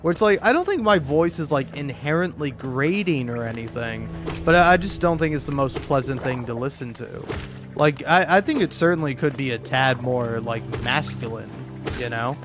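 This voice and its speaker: A man's voice: mid-range voice, lively intonation, moderate pace, music in the background. A man talking in a casual, rambling monologue, second-guessing himself as he goes. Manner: rambling, self-questioning. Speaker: video creator.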